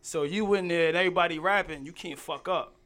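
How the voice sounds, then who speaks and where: A young man from Queens with a New York accent, a rapper chatting as a guest in slangy, animated talk. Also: a little high, quick pace. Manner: laid-back, slangy, animated storytelling. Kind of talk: casual podcast chat.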